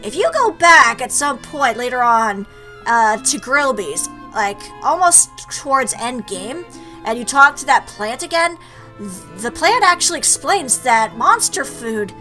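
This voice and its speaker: A woman plays through a game and comments in a casual, playful way. Her voice sits a little high and swings around a lot as she chats back at the screen, with faint music behind her. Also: very animated, unhurried, bright, forceful tone, low-quality recording. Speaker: let's player. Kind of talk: casual game commentary mixed with reading dialogue aloud.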